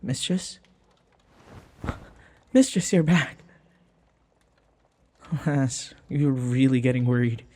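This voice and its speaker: A man acting in an intimate audio roleplay as a devoted young human servant, speaking in a soft, halting, tender and pleading way. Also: mid-range voice, slight background noise, lightly acted. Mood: relieved, anxious.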